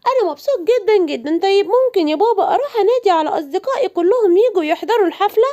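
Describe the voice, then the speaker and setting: A woman with an Egyptian accent voicing an eager, excitable young male lion cub in a children's cartoon. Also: very high voice, very fast, pitched above the performer's own voice. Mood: delighted, eager.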